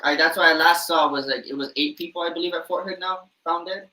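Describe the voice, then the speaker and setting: Co-host, male, bright, slightly high voice, earnest storyteller, echoey room sound. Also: fairly even intonation, fast. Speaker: podcast co-host. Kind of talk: casual podcast chat.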